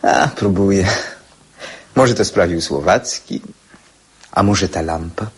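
Slow, husky dreamy young poet. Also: male voice, low-pitched, exaggerated voice. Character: dreamy, theatrical teenage schoolboy poet. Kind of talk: radio drama acting.

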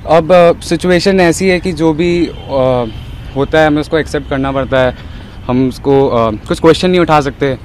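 A man's voice: a little high, moderate pace, a little mellow, a little hiss or hum. Hesitant young man outdoors. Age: young adult. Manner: hesitant, many filler sounds. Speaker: athlete. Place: outdoor stadium grounds. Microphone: handheld reporter mic.

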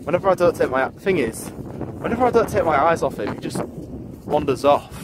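A young man, somewhat high-voiced, rambles casually into his phone, stopping to restart and correct himself. He is walking outdoors on open, windy ground. A loud wind rushes across the phone mic the whole time. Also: very animated. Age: 20s. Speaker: vlogger. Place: outdoors on a windy grassy embankment. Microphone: phone held at arm's length.

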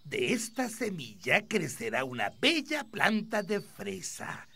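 Gentle, swooping old grandpa pig. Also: male voice, high voice, huge pitch swings, slow, creaky, breathy edge, heavily acted. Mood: kindly, patient. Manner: gentle, encouraging, explanatory. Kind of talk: preschool cartoon dubbing.

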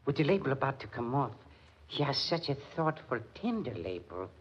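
A man's voice: mid-range voice, big pitch swings, normal speed, close to an everyday voice. Guy playing a mad german killer in an old radio play, creepy sweet, super swoopy, muffled recording. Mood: gloating. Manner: theatrical, sinisterly tender, chuckling madly. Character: deranged, lovesick German musician, softly menacing murderer. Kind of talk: 1940s radio drama acting. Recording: muffled 1940s radio recording, faint noise.

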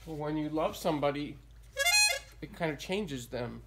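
A man's voice: on the high side, normal speed, over loud music. Middle-aged man performing a children's puppet show. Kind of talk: playful children's storytelling. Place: indoors beside a small puppet theater.

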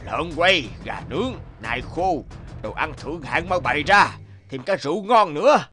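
A hulking demon from a fairy-tale cartoon. He is crude, boisterous and ruled by his stomach, bossing as he goes. Loud music runs under the voice. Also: fairly high pitch, expressive, moderate pace. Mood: greedy, gleeful. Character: big gluttonous cave demon, male, crude and boisterous.

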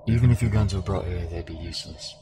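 A weary, taunting cult villain from an audio drama, acted by a man. The voice sits very low and speaks with menacing resignation, with prominent music behind it. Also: quick pace, lightly acted. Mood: resigned, taunting. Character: weary, menacing male cultist villain in spirit projection. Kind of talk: audio roleplay voice acting.

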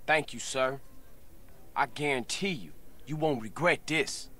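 A man's voice: mid-range voice, unhurried, very noisy, close to an everyday voice. A man playing a confident young street gangster in a video game cutscene. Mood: smooth, confident.

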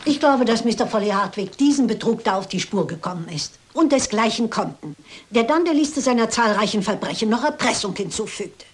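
A woman's voice: on the high side, lively intonation, fairly quick, close to an everyday voice. A shrewd, composed elderly lady detective, acted by a woman in a crime radio play. She lays out her reasoning precisely and persists with impeccable politeness. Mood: assured, explaining. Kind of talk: German dubbed crime film acting.

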